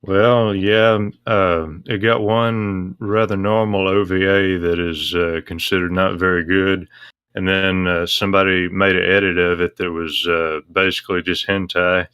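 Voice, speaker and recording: A man answers as an interview guest in a low voice with very little rise and fall. He explains casually and matter-of-factly, with a clear, bright edge to his sound. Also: flat delivery, a little slow, no room sound.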